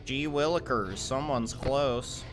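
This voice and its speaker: A man's voice: medium pitch, slow pace, music in the background. A man doing casual, slangy livestream commentary while playing a game, in a playful manner.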